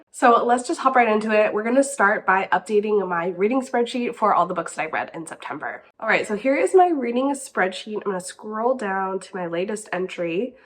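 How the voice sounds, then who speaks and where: A young woman with a stuffy, congested nose chats casually as host of her book channel, giggling and saying "like" often. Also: medium pitch. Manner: chatty, giggly, says "like" often. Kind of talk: casual vlog chat.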